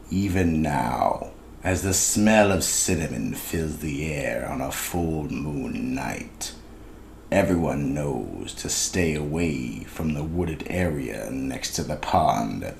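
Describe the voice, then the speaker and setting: A man's voice: a bass voice, creaky, a little hiss or hum. A man reading scripted narration for a radio mystery drama.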